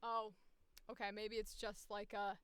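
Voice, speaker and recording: A woman's voice: medium pitch, level tone, unhurried, a bit bright, clean tone, no room sound. A woman voice actor chatting casually on a livestream, reading casting calls aloud and musing to herself as she goes. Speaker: voice actor streaming auditions.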